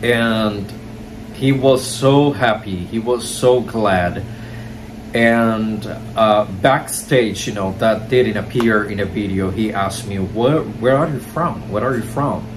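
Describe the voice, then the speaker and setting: A man's voice: fairly low pitch, slow pace. A young man from Cuba, a show host telling a story to the camera in an effusive, enthusiastic way while seated on a couch indoors. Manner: effusive, enthusiastic, heaps praise. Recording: steady background noise.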